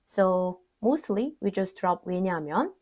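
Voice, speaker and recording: A young woman, a native Korean speaker, explaining grammar in English in a casual online lesson and repeating Korean example words slowly. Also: mid-range voice, expressive, darkish tone. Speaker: Korean language teacher.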